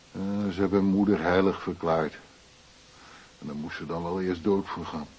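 An actor playing a wry, down-to-earth middle-aged widower in a radio drama, plain-spoken and terse. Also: male voice, low voice, dark tone, breathy edge, highs cut off. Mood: wry, defiant. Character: wry, down-to-earth widower, night worker who paints.

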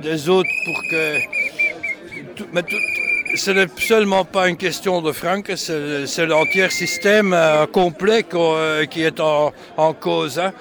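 A man, not a native French speaker, answers a street interview at a demonstration, halting with many restarts and fillers. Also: a little high, noisy background.

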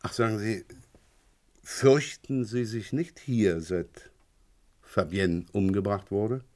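An actor playing a calm, courteous French police inspector with measured authority in a German radio crime play. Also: male voice, fairly low pitch. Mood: concerned, courteous.

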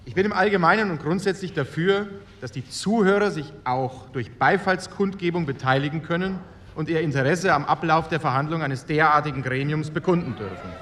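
A man's voice: a little high, a little fast, fairly bright tone, slight background noise, close to an everyday voice. An actor playing a stubborn man delegate who raises a formal complaint in a radio drama. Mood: insistent. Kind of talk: radio drama reenactment of a parliamentary speech.

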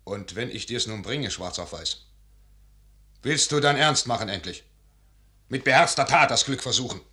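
A man's voice: medium pitch, lively intonation, moderate pace, pushed and bright. An actor playing a loyal, scheming field officer who urges his commander on, in emphatic verse for a 1955 German radio drama. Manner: emphatic, persuasive declamation. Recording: 1955 radio studio recording.

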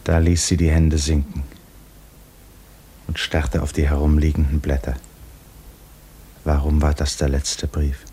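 A man narrating a literary radio drama in a grave, measured, restrained manner. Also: extremely low pitch, flat delivery, warm tone. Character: sombre male literary narrator. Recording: faintly noisy old radio recording.

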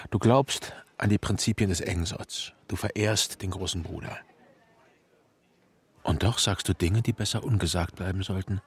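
A brooding, watchful clerk in a radio play. His voice is rather low and heavily breathy, with a rough husk. He speaks with dry restraint, as if thinking to himself. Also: full character voice. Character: wary, brooding male Party clerk, quietly observant. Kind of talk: radio drama acting.

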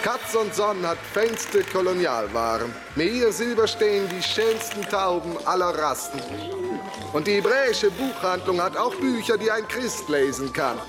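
Theatrical barker in a radio drama, high voice, really swoopy, waving people in, music behind. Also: male voice, expressive, moderate pace. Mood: inviting, showy. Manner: beckoning, proclaiming like a showman. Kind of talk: declamatory German radio drama.

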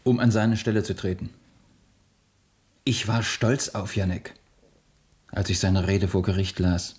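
Guilt-ridden, earnest rebel in radio drama; extremely husky low voice, slow solemn delivery. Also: male voice, steady tone, darkish tone. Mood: solemn pride. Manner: solemn, deliberate. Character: earnest, guilt-ridden male revolutionary set on sacrifice. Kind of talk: radio play acting.

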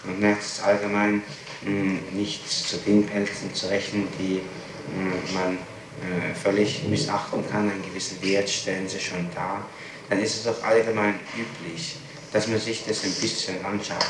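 A man questioning someone formally as a prosecutor, with measured, probing phrases broken by hesitant pauses. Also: lowish voice, flat delivery. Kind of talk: formal interrogation. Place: reverberant room, interrogation recorded on tape. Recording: very echoey archival tape, clearly noisy.

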